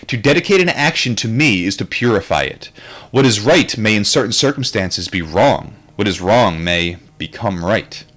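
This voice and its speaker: Lower-pitched man reading and musing aloud. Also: expressive, moderate pace, a bit bright. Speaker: host reading a book aloud with his own commentary. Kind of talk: book reading mixed with casual, rambling commentary.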